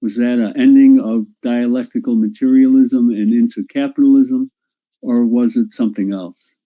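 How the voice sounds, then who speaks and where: A man's voice: mid-range voice, steady tone, moderate pace, warm tone. A man asks a plain, direct question in an online class. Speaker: class participant. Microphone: video call. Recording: dull lo-fi call audio.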